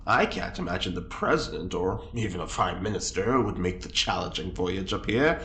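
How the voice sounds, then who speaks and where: A man playing a smooth-talking, nosy prisoner in an audio drama, theatrical and mock-polite as he wheedles. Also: deep voice, expressive, normal speed, a bit bright. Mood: sly, teasing. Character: smooth-talking, nosy male prisoner, slyly teasing the guards. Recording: echoey room with noticeable background noise.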